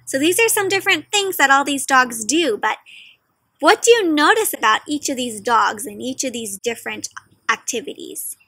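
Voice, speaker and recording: A young adult woman hosting an interactive picture book read-aloud, questioning young children directly in an animated, teacherly way. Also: high voice, normal speed, dry recording. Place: outdoors in a leafy garden.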